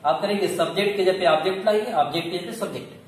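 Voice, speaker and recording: A man's voice: high-pitched, rapid-fire. A man teaching a grammar lesson step by step, asking questions, answering them himself and repeating key points. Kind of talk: classroom lesson, explaining step by step. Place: echoey room. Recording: very echoey room, distant mic, faint noise.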